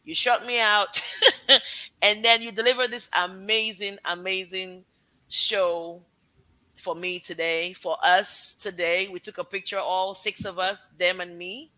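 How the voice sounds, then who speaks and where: A woman's voice: medium pitch, fairly even intonation, unhurried, pushed and bright, rough recording. A woman with a Nigerian accent mixed with American tones, hosting a casual live stream and giving effusive, heartfelt, rambling thanks to her guests and audience. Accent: Nigerian with American mix. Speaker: live show host, parent.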